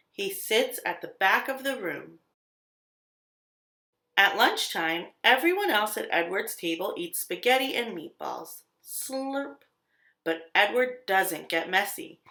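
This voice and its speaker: A woman storyteller narrates a children's picture book in a roomy, echoing space. Her voice is bright and swoops expressively up and down. She puts deliberate, dramatic weight on key words. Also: mid-range voice. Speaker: children's read-aloud storyteller.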